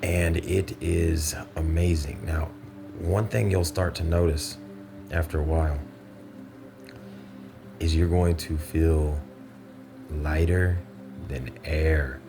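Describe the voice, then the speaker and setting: A man with an extremely low voice guides a meditation in measured, instructional phrases. His intonation stays fairly flat, with pauses between short phrases. Music plays clearly behind him.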